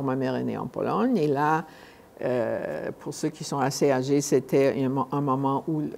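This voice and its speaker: Low, mellow older woman lecturing conversationally. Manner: halting, conversational, often repeats and rephrases words. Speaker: guest speaker at a conference. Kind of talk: informal public lecture.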